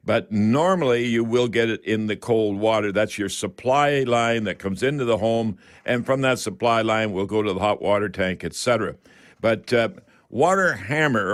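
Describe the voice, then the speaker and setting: A man hosting a radio show, giving folksy, unscripted practical advice with halting, explanatory delivery. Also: fairly low pitch. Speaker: radio host and home improvement advisor.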